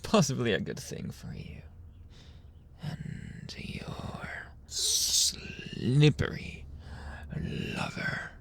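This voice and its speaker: Man acting out a husky, whispering, seductive mafia boss. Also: mid-range voice, heavily acted. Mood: menacing, probing. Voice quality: breathy, husky, often dropping into whisper.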